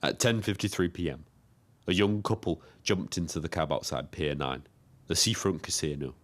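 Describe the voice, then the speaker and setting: Lonely British taxi driver, extremely deep voice, audio drama narration, measured, wry, observant. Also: male voice, fairly bright tone. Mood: wry, reflective. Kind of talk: scripted first-person narration.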